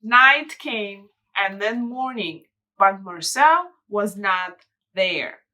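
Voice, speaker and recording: A woman narrating a children's storybook as a storyteller, with deliberate, expressive phrasing in short pieces. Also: medium pitch.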